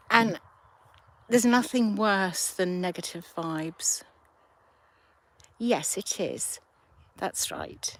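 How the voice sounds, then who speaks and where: A woman chats unhurriedly to her live viewers. She talks at a slow, easy pace, thinking aloud as she goes, her voice in a middle register. She holds her phone out of doors by a riverside. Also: medium pitch, a little hiss or hum. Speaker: livestream host. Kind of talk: casual chat with live viewers. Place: outdoors by a river at sunset. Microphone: handheld phone.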